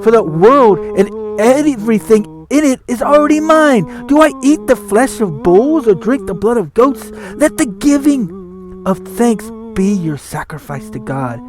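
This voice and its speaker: A man giving a solemn, declamatory, theatrical reading of scripture. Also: sits high. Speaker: scripture reader. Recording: music under the voice. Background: music.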